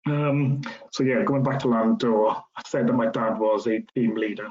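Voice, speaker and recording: A man in his late thirties from South Wales, with a South Welsh accent, giving a relaxed, conversational careers talk full of ums. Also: mid-range voice, normal speed, slight background noise. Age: 30s. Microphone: video call. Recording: lo-fi video call audio.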